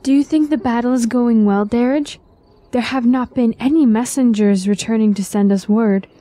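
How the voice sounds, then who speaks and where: A woman's voice: on the high side, normal speed, warm tone, lightly acted. A woman playing an anxious, devoted young-world fantasy queen in a radio drama, speaking earnestly and with worry. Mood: anxious, pleading. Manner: earnest, worried.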